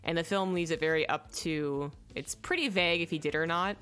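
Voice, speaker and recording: A woman's voice: low voice, fairly quick, a bit bright, clean tone. A young adult woman casually reviewing films, rambling and stopping to search aloud for names. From native English speaker. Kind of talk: casual film review chat.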